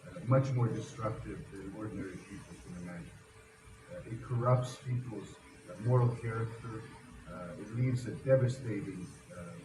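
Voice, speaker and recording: A man from Missouri, a politician speaking a hesitant video message from a parliament building, with frequent filler sounds. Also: fairly low pitch. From Missouri, USA. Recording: echoey, distant, noisy.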